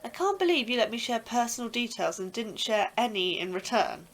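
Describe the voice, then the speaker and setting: A woman's voice: a little high, very animated, fairly quick. A woman playing a curious, chatty young science officer who likes to tease her colleagues in an audio drama.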